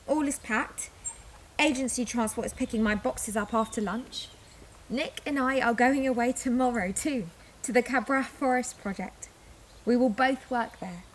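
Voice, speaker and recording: A woman's voice: a little high, a little hiss or hum, close to an everyday voice. A woman acting an emotional young daughter leaving for a new life, in a naturalistic audio drama. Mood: excited, emotional.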